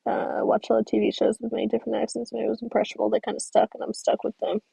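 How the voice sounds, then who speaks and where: A woman's voice: a little high, monotone, racing, gravelly, warm tone, slightly rough, dry recording, poor audio. A young woman from the American South, chatting casually on a livestream while reading out viewer comments. She rambles in a friendly way, uses many fillers and laughs easily. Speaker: stop-motion creator streaming.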